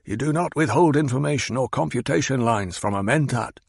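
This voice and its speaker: A man voicing a cold, sardonic old advisor who speaks in clipped, cutting sentences, pitched higher than the narration. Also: fairly quick, vocal fry, husky, dry recording, studio quality. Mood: scornful. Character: cold, scornful old male mentat advisor.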